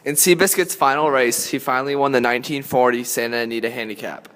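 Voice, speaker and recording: A man's voice: medium pitch, steady tone, fast, a bit bright, a little hiss or hum. A man reading scripted story narration as a narrator. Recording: echoey hall reverb.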